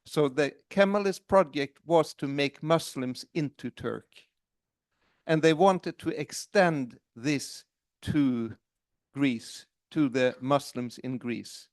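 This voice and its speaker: A man on a conference panel explains things in a slow, measured way. His sound is dry and close, with little room around it. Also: a little high, no echo. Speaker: panelist commenting.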